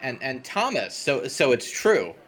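An actor playing a theater journalist who asks mildly skeptical questions. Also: male voice, mid-range voice, sing-song, measured pace, a bit bright. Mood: probing. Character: male theater journalist, inquisitive.

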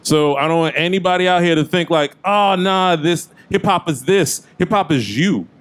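A man's voice: fairly high pitch, moderate pace, fairly bright tone, clear, resonant tone. Earnest man rapping about hip hop. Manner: earnest, loose and conversational. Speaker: freestyle rapper on a panel. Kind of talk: casual panel talk. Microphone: handheld mic.

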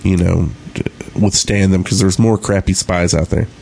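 A man's voice: deep voice, steady tone, normal speed, gravelly, warm tone, a little hiss or hum. A man chatting casually as co-host of a gaming podcast.

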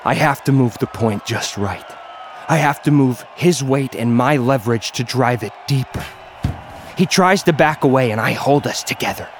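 A man's voice: medium pitch, moderate pace, slight background noise, natural voice. An actor playing a battered young gladiator influencer, narrating his own story in a terse, dramatic, grimly intense way. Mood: grim, tense. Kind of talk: first-person audio fiction narration. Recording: prominent music under the voice.

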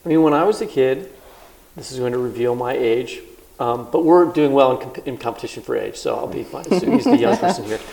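A man co-hosting a live interview on a festival stage, his speech quick and rushing. There's a gravelly fry through his voice, and his questions come in a chatty, self-deprecating way. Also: mid-range voice, lively intonation, a little mellow, rough recording. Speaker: podcast co-host. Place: live event at a book festival.

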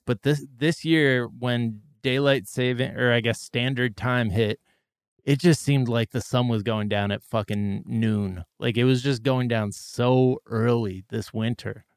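A man's voice: medium pitch, steady tone, a little slow, smooth, no room sound, clean studio sound. A man in his forties hosting a casual podcast chat, rambling and swearing freely with lots of "like"s. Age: 40s. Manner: loose, profane, rambling with many "like"s. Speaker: podcast host.

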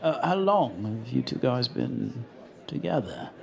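A sly, sardonic man who sizes people up in an audio drama. He drawls very slowly with a creaky voice, its pitch swinging with amusement. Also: big pitch swings, some chatter behind, heavily acted. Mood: amused, appraising. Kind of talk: naturalistic audio drama acting.

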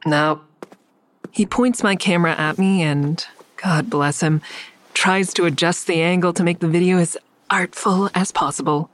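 Woman acting a low-voiced, guarded heroine. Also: a little slow, lightly acted. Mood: curt. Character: guarded, defiant woman narrating her own troubles. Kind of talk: first-person audio drama narration and dialogue. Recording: faint music under the voice.

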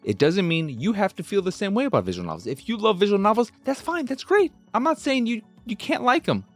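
A man's voice: sits high, very animated, fairly quick, faint music behind. A man hosting a video game show with casual, sweary, rambling commentary and an exasperated, self-deprecating manner.

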